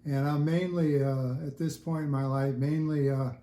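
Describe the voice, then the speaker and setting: A man in his seventies giving casual, halting interview answers as an audio hobbyist in his home listening room. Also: fairly high pitch, level tone, dark tone. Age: 70s. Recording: echoey room sound.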